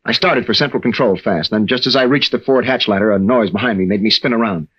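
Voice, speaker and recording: An actor playing a troubled young American navy veteran and husband, speaking earnestly and haltingly in an old radio drama. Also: male voice, fairly low pitch, fairly bright tone, husky. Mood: haunted, confessional. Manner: halting, earnest, with stammered restarts. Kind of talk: 1940s-50s radio drama acting. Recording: dull old-time radio recording.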